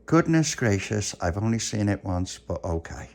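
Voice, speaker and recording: A friendly but commanding street magician, acted out by a male storyteller. He coaxes and instructs with showman's patter, his creaky voice rising and dipping freely. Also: on the low side, sing-song, normal speed, darkish tone. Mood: gently instructing.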